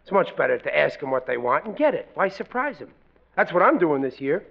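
A blustering middle-aged family man, opinionated and a bit of a blunderer, pitched on the high side with very animated swings. He stumbles through his phrases in vintage radio comedy style, on a dull old recording. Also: brisk, vocal fry, heavily acted. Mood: insistent. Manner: blustering, ungrammatical, stammering restarts. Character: blundering, opinionated working-class family father. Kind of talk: 1940s radio sitcom acting.

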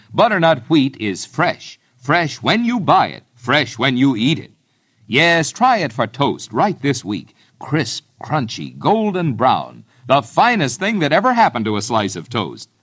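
A male announcer reads an old radio advertisement. His medium-pitched voice swings expressively as he delivers emphatic, scripted sales patter. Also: a bit bright. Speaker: commercial announcer.

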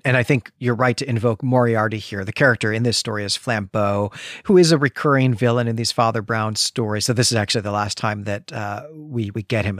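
A man's voice: medium pitch, dry recording. Male podcast host, conversational book discussion, discursive, wry asides, very dry close recording.

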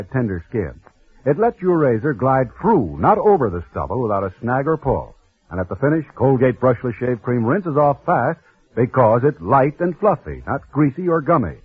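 A man announcer reading a 1940s radio commercial in smooth, persuasive sales patter. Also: mid-range voice, no echo. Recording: dry tinny old radio broadcast.

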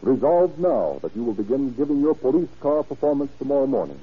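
A man delivers scripted narration and sponsor copy as an old-time radio announcer. He is formal and declamatory, with a husky edge. The recording sounds dull and low in fidelity. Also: very animated, brisk.

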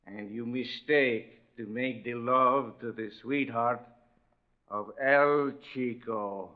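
An actor playing a sly, menacing little Mexican bandit with a Mexican accent and mock-polite delivery in a 1940s radio drama. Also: male voice, mid-range voice, lightly acted. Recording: tinny, narrow-band 1940s radio recording.

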